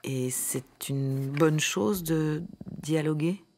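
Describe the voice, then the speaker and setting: An actress playing a naive, timid employee in a satirical radio drama, speaking haltingly and deferentially, as if asking permission. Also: female voice, low-pitched, darkish tone, slight background noise, full character voice. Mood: tentative, curious. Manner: hesitant, halting, deferential, questioning. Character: timid, naive female employee being coached.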